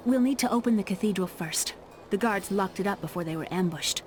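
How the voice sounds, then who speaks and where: A woman's voice: medium pitch, brisk, a little mellow, lightly acted. An actress playing a determined young woman of a besieged town in a fantasy video game, earnest and plain-spoken. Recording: game music behind.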